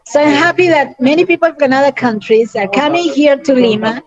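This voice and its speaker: Woman, high pitch, eager, muffled call audio. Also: fairly quick, crowd noise. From Peru. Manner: eager, excited, laughs easily. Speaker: interviewee, music fan. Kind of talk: casual chat. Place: busy restaurant.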